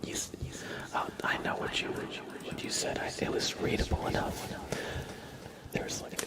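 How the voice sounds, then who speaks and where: A man hosting an ASMR livestream, speaking in a breathy whisper and murmur, informally chatting and gently guiding his listeners. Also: moderate pace.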